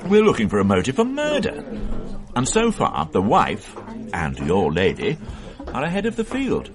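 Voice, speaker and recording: An actor playing a wry, worldly police inspector with a dry, sardonic way of talking. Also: male voice, medium pitch, huge pitch swings, moderate pace, crowd noise. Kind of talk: radio drama acting.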